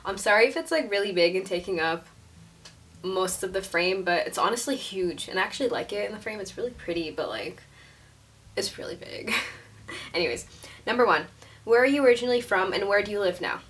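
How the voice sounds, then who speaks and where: A woman's voice: medium pitch, lively intonation, fairly quick, a bit bright, distant mic, a little hiss or hum. A woman in her twenties from Syria, chatting casually in a vlog, with lots of fillers and small laughs. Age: 20s. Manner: chatty, says like a lot, laughs often. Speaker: YouTuber.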